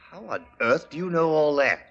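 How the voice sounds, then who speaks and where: Suave, grandiose, menacing trader. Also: male voice, on the high side, lively intonation, fairly bright tone. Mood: courtly, grandiose. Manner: grandiose, deliberate, courtly. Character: suave, menacing male spice exporter, slightly hard of hearing. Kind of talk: old-time radio drama acting. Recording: dull, band-limited old radio recording.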